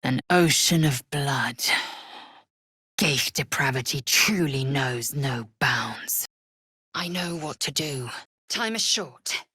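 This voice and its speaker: Game role: fierce alien warrior woman, very low and raspy, scornful, zealous, formal diction with big swings in pitch. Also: expressive, slow, pushed and bright, no echo, clean studio sound, full character voice. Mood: resolute, zealous. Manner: imperious, clipped, formal, scornful. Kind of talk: video game character acting.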